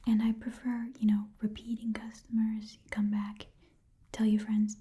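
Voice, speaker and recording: A woman's voice: medium pitch, completely flat delivery, moderate pace, natural voice. Whispering, muttering goblin shopkeeper, played by a woman. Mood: reluctant, haggling. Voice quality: soft, breathy whisper. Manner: muttering, repeats words to herself, fussy. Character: chatty, haggling goblin pawn shop keeper. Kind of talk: whispered ASMR shopkeeper roleplay.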